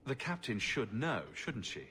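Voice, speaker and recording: A man's voice: mid-range voice, big pitch swings, unhurried, pushed and bright, slight background noise. A man voicing a wry, self-assured adventurer in a video game, with a dry, teasing manner. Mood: dry challenge.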